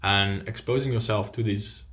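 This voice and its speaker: Man, native Dutch speaker, language coach, young adult, upbeat tips, slightly low voice. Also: fairly even intonation, normal speed, fairly bright tone. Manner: upbeat, list-like tips addressed to viewers. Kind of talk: scripted video lesson.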